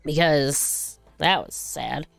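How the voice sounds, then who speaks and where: A woman's voice: low-pitched, slow, gravelly, a bit bright, faint music behind. A woman hosting a casual livestream, rambling and chatty as she reads viewer comments, her voice still recovering from being sick. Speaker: livestream host.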